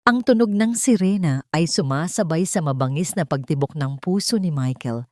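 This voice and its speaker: Female storyteller, lower register, close dry recording, scripted dramatic narration. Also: lively intonation, normal speed, darkish tone, no room sound.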